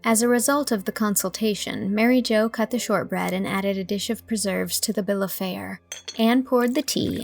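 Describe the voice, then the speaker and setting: A woman's voice: medium pitch, gravelly. A woman reading scripted audiobook narration as the storyteller, voicing bits of dialogue along the way. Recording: music under the voice.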